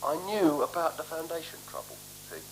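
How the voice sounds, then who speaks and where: A slightly hissy 1970s radio drama features a meek, guilty man. He stammers evasively and hesitates, his flustered voice leaping up and down in pitch. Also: huge pitch swings, fairly quick, rough recording. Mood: guilty, hedging. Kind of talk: 1970s radio play acting.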